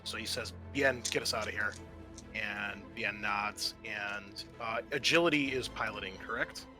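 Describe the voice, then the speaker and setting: A man's voice: on the low side, measured pace. A man improvising narration as game master in a tabletop roleplaying game, talking casually and addressing his players directly. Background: background music.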